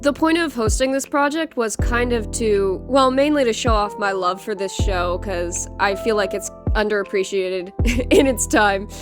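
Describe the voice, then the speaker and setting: A young woman with a congested, sick voice, chatting casually in a vlog and laughing often at herself. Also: medium pitch. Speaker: animation project host.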